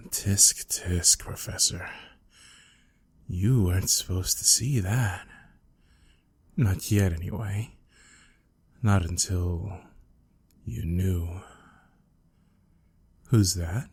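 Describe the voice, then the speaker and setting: A close, dry recording of a man acting out a lovesick, obsessive male student in an ASMR audio drama. He speaks slowly in a soft, husky voice, stammering shyly and coaxing, with menace underneath. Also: deep voice, no echo, exaggerated voice. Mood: cold, menacing.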